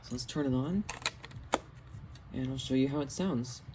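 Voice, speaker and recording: A man's voice: medium pitch, normal speed, very noisy. A man giving a casual hands-on product demonstration on a home camera recording. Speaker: video host, hobbyist collector. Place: indoors in a home basement.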